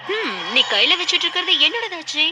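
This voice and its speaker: A woman's voice: extremely high pitch, very animated, fast, noisy background. A woman playing a feisty, confrontational young female dragon racer in a dubbed cartoon. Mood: angry. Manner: confrontational, urgent. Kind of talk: Tamil cartoon dub acting. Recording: loud music behind the voice.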